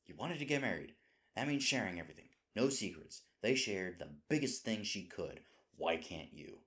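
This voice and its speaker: A man's voice: on the low side, expressive, normal speed, a bit bright. A man playing a sweet, flustered, self-deprecating boyfriend in an intimate roleplay audio, hesitant and rambling as he corrects himself. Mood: anxious self-talk.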